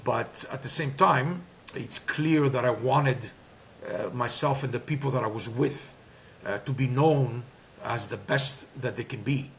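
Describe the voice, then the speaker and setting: Greek-accented man, gravelly voice, faint hiss under it; emphatic interview guest. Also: mid-range voice, measured pace, fairly bright tone. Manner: talkative, emphatic, many fillers and restarts. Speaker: guest, former player and coach. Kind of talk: candid interview talk.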